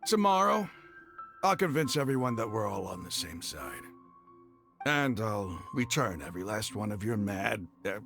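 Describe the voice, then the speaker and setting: A man playing a proud, embittered desert mercenary leader in a video game, with dramatic, halting, emotional delivery. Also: on the low side, sing-song, creaky, fairly bright tone, faint music behind, full character voice. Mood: weary, contrite.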